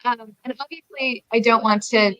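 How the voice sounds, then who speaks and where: A woman's voice: a little high, steady tone, normal speed, sharp tone. A woman interviewing an author on a live video call, full of eager praise and questions. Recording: dry, dull lo-fi video call audio.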